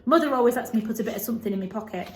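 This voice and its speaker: A woman's voice: on the high side, lively intonation, rapid-fire, a little mellow, a little hiss or hum, lightly acted. A cheerful young country lad from a storybook, acted by a woman, talks in hearty Yorkshire dialect. The recording is roomy, with an audible echo around the voice. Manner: hearty, dialect speech with thee and thou. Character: cheerful, hearty country boy gardener, about twelve.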